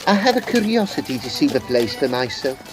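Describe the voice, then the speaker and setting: A man's voice: mid-range voice, very animated, brisk, music in the background, close to an everyday voice. A man playing a grave, inquisitive Edwardian occult detective in an audio drama, formal and probing. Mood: inquisitive, intent. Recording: clear background noise and clip-clop. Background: horse's hooves of a cab.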